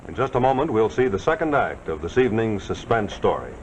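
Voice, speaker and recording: Animated American male announcer, vintage broadcast. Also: lowish voice, fairly quick. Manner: folksy, jovial, salesmanlike. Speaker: announcer and commercial pitchman. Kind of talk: folksy late-1940s television sponsor announcing. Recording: dull, noisy old 1940s soundtrack.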